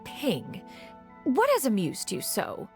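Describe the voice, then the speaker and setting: A woman's voice: fairly high pitch, wildly swooping pitch, slow pace, faint music behind, heavily acted. A woman voicing an ageless immortal mentor who speaks in a stiff, formal way, calling herself "one".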